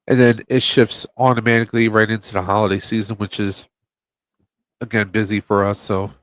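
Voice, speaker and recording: A man with a stuffed-up nose, guesting on a podcast from home and talking in a halting, casual way with many fillers and restarts. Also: fairly low pitch, little pitch movement, no echo. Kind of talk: casual chat.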